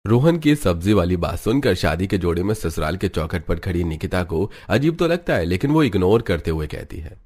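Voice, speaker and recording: A man's voice: sits low, very animated, brisk, few pauses, darkish tone, husky, faint music behind. A man giving a scripted narration for a cartoon family story.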